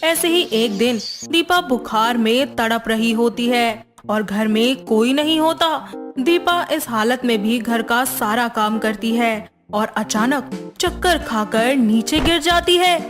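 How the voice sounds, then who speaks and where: A woman narrating a scripted family story in an even, measured way, with soft music underneath. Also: on the high side.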